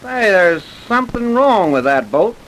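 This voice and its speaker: A man playing a keen, folksy Coast Guard man in an old-time radio drama, brisk and colloquial. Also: high-pitched, big pitch swings, fairly bright tone, heavily acted, low-quality recording. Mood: brisk, curious. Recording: hissy vintage radio recording.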